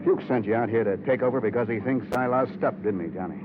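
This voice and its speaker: An American actor playing a cynical, wisecracking, defensive insurance investigator in a 1950s radio drama, speaking loosely and repeating himself for emphasis. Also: male voice, medium pitch, quick pace, narrow, tinny audio, lightly acted. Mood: defensive, needling. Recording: very noisy, thin old radio recording.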